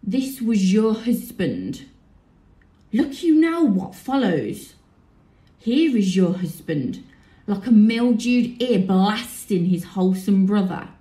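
A woman's voice: mid-range voice, sing-song, slow, mellow. Woman doing bitter young prince, shakespeare tragedy, accusing, echoey room. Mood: accusing, forceful. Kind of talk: Shakespearean tragedy, audio drama.

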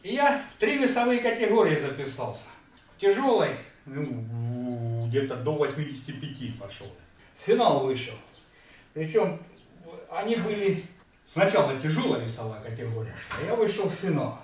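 A man's voice: a little high, big pitch swings, moderate pace. A man in his fifties resting between lifts in a home gym, telling a story plainly and giving short replies. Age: 50s. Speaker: trainee. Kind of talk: casual chat between sets. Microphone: camera across the room. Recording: echoey room from far off, faint background noise.

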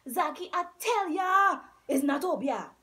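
A woman's voice: high-pitched, animated, unhurried. Woman doing a sly, fat, secretive villager. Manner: sly, evasive, chuckling. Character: fat, secretive, good-humoured village man.

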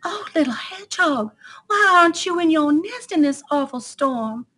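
A woman voicing a gentle, caring mother deer in a children's storybook, with a concerned manner. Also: high voice, animated, normal speed, voice pushed up.

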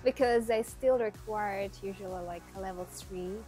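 A woman in her thirties from Uzbekistan, native Russian speaker, a guest in a casual interview who laughs often and talks in an animated way. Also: medium pitch, normal speed.

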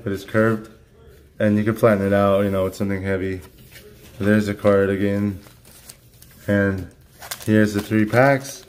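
Sick guy with a hoarse flat voice, lots of uhs, chatting at a table at home. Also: lowish voice, slight background noise. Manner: halting, many fillers and restarts, monotone. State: losing his voice from illness. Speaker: card-opening channel host. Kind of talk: casual unboxing talk.

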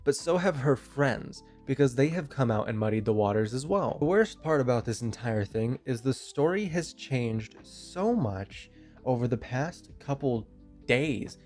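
A young man with a cold that leaves him sounding nasal, talking bluntly and casually into a handheld mic. He speaks at an even, middle pitch in a small bedroom, with faint music underneath. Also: measured pace. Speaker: commentary YouTuber. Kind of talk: drama commentary. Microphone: handheld microphone.

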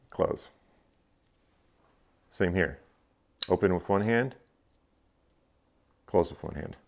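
Low gravelly man explaining gear. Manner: relaxed, explanatory, frequent "uh" fillers. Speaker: fishing channel host. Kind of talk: casual gear review. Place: living room, indoors, seated on a couch.

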